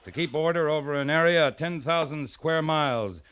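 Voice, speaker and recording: A man's voice: on the high side, moderate pace, a bit bright, clean tone, natural voice. Man playing a stern, clipped American cavalry captain. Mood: stern rebuke. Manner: clipped, commanding, military. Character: stern, tough male cavalry captain, loyal to his men. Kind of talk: 1950s radio western drama acting. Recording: 1950s radio drama recording.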